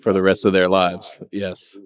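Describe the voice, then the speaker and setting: A man's voice: low-pitched, completely flat delivery, normal speed. A man with an EMS background chatting casually and giving short supportive reactions. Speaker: EMS veteran in conversation, reacting to stories.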